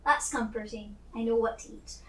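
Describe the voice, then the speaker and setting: A woman's voice: fairly high pitch, steady tone, normal speed. A woman playing a tabletop game, talking casually and partly in character. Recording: echoey room, distant from mic.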